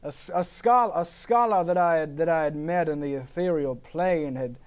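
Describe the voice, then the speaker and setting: A man playing a pompous, bemused dead nineteenth-century writer brought back for a comedy talk show, speaking haltingly in wordy, old-fashioned diction. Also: fairly high pitch, smooth, close to an everyday voice. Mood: earnest, rambling.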